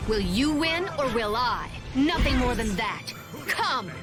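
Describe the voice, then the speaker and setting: Ancient female game adversary, high voice, imperious and challenging, over prominent battle music. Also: very animated, unhurried. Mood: proud, challenging. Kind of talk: video game voice acting. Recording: loud game music behind.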